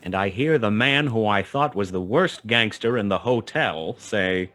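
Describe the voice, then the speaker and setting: An actor playing a wry, laconic private detective who narrates a noir radio play. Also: male voice, on the low side, lively intonation, unhurried, fairly bright tone. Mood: wry, tense narration. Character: hard-boiled male private detective narrating his own story.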